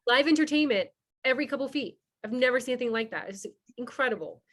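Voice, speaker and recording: A woman from Cuba hosting an online member orientation, talking fast and chatty with many fillers over a video call. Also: high voice, animated. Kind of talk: casual online presentation.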